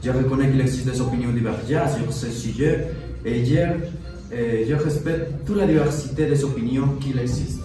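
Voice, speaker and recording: A man's voice: mid-range voice, normal speed, warm tone, natural voice. A man playing a diplomatic, courteous town mayor in an amateur news sketch, speaking formally. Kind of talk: amateur classroom dramatisation of TV news. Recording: very echoey room, distant mic.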